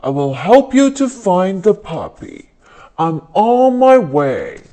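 Brief: a woman doing a friendly police car from a kids' storybook, earnest and upbeat, slow and lively, noisy recording. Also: medium pitch. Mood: eager helpful. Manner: earnest, eager to help. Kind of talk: storybook read-aloud. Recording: noticeable background noise.

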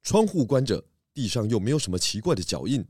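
An actor playing a calm, methodical detective chief with measured, polite, reassuring questioning. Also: male voice, mid-range voice, husky, no room sound. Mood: reasoning. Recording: dry close voice over faint music.

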